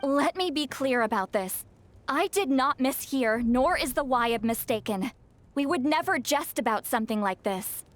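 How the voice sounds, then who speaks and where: A woman's voice: high-pitched, lively intonation, moderate pace. A fretful young shaman. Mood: worried. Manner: worried, earnest, a touch formal. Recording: game audio over soft music.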